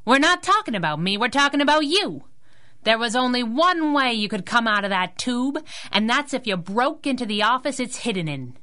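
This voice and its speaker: A feisty young female crimefighter in a vintage-style radio adventure. Her voice is bright and forceful, with big melodic swings. She wisecracks in a streetwise, sassy way. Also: on the high side, sing-song, normal speed. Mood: scolding. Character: feisty young masked heroine sidekick, tough and sassy. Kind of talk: 1930s radio drama acting.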